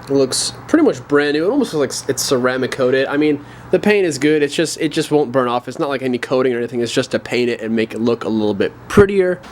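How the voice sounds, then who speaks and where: A young man casually chatting to viewers while working on a car engine, excited and slangy. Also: medium pitch, fast talker, slight background noise. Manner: excited, slangy, talks to viewers as "you guys". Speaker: car build vlogger. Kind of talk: casual garage chat to camera.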